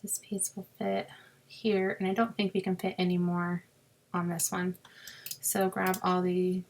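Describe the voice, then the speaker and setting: A woman with a sore, scratchy throat, explaining a crafting software task step by step in a chatty way and muttering to herself as she clicks. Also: on the low side, flat delivery, measured pace. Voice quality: raspy with creaky fry. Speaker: crafting tutorial host. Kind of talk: screen-recorded software walkthrough.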